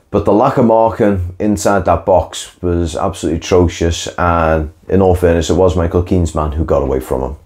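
A young man with a lowish, mellow voice gives his opinions in a conversational monologue. He sounds tired, sighing now and then and restarting his sentences. He is recorded indoors at desk distance from the camera. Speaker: football channel host. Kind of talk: post-match reaction monologue. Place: indoor room with window onto a marina.

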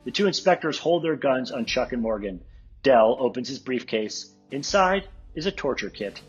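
A man's voice: medium pitch, expressive, normal speed, breathy edge, muffled recording, faint music behind. A man narrating a script, reading out the stage directions at a table read.

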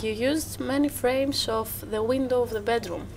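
A young woman, a student interviewer, asking a question softly and tentatively in a hotel lounge. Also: a little high, normal speed, background noise. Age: young adult.

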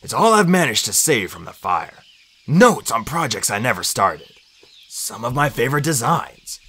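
A man voicing a gentle old inventor in a storybook, speaking in a measured, formal, remorseful manner. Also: medium pitch, very animated, slightly rough, heavily acted. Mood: wistful.

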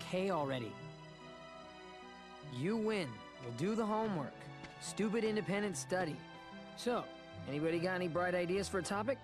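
A man's voice: sits high, lively intonation, normal speed, long pauses, over music. An actor playing a brash teenage boy leader in a video game cutscene, blunt and grudging.

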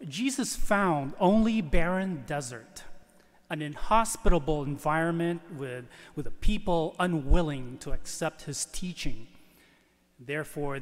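A man preaches a prepared homily as a priest, slowly and with deliberate pauses. His voice sits a little high for a man and rings with the long reverb of a large church. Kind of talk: scripted homily read from the pulpit. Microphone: lectern microphone.

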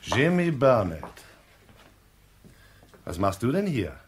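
An actor playing a weary, gruff police lieutenant in a radio crime drama. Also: male voice, medium pitch, very animated, slow pace, slight background noise. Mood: stern, sardonic.

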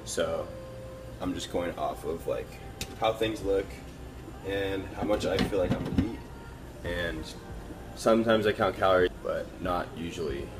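A man's voice: sits low, a little slow, echoey room, noisy background. A young man in his twenties chatting casually for a fitness vlog, loose and filler-heavy, recorded on a camera mic in a small home kitchen. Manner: laid-back, filler-heavy talk with many likes and ums. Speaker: fitness vlogger. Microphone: camera mic at arm's length.

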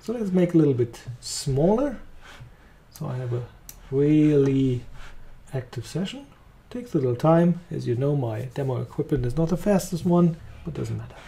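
A man with a German accent walking through a software demo, talking casually as he clicks along. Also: a little high, moderate pace, gravelly. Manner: relaxed, thinking aloud while clicking. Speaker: software trainer. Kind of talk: screen-recorded software demo.

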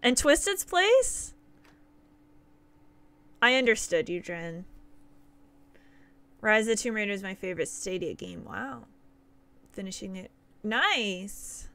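A woman's voice: mid-range voice, pushed and bright. A woman in her thirties from Nova Scotia with a Nova Scotian accent, chatting upbeat with viewers while streaming a game, laughing often. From Nova Scotia, Canada. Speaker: livestreamer. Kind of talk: casual live gameplay chat with viewers. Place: small indoor room.

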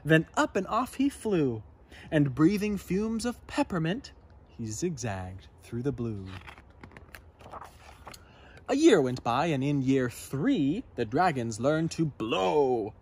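A man in his thirties reading a children's story aloud outdoors in an expressive, dramatic manner. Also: a little high, slow, slightly rough. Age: 30s. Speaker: storybook reader. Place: outdoors in a garden.

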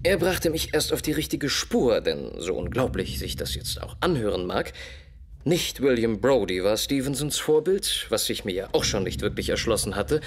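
A brilliant Victorian detective in a radio play. He sets out his deductions gravely and methodically at a middle pitch, pausing and repeating words for weight. Slight noise sits behind the voice. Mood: explaining, assured. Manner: deliberate, measured, expository, with dramatic repetitions. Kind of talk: German radio play dialogue, explanatory monologue.

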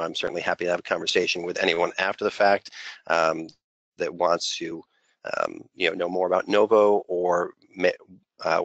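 A man presenting an unscripted software walkthrough as a consultant, conversational and peppered with filler phrases. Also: low-pitched, gravelly, no room sound. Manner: conversational, heavy use of "you know" as filler.

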